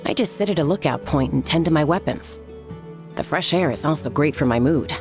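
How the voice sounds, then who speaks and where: A woman's voice: deep voice, animated, brisk, a little mellow, faint music behind. A woman voicing a laid-back, self-assured young female warrior with a casual, easygoing manner in a video game.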